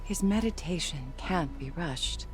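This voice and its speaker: A low female voice carries a devout follower's stubborn loyalty. She speaks solemnly and reverently in measured game cutscene phrases, with prominent music behind her. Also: lively intonation. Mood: stubborn, proud. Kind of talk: video game cutscene acting.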